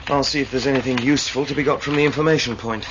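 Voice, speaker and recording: A man's voice: medium pitch, fairly even intonation, rapid-fire, noisy background, lightly acted. An actor playing a practical male spaceship crewman in a sci-fi radio drama, dry and matter-of-fact. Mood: businesslike. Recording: muffled old radio recording.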